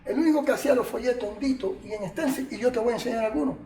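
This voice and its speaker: High-voiced older Cuban man, distant echo. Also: rapid-fire, a crowd in the background. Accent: Cuban Spanish. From Cuba. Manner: animated, colloquial, chatty asides. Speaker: martial arts master, interview guest. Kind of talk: reminiscing storytelling. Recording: echoey room, distant mic, faint noise.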